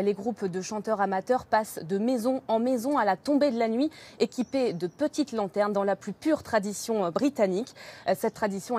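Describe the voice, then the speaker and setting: A woman correspondent with a fairly high voice. She gives a live, semi-spontaneous report, often pausing on filler sounds as she explains. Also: a little mellow. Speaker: foreign correspondent.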